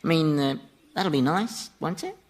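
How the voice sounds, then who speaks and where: Man playing a sly, mock-innocent Devil, animated. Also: on the high side, moderate pace, close to an everyday voice. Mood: slyly goading. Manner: teasing, chuckling, mock-innocent delivery. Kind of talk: radio sitcom acting.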